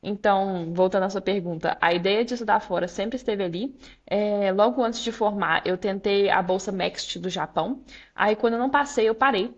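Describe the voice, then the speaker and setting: A young woman with a Minas Gerais accent speaks as a podcast guest, in a mid-pitched, everyday voice. She talks candidly and reflectively, filling pauses with small hesitations. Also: highs cut off. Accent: Minas Gerais Portuguese. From Brazil, Minas Gerais. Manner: reflective, candid storytelling with frequent "é" fillers and laughs. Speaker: guest, law graduate student. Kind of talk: casual interview chat.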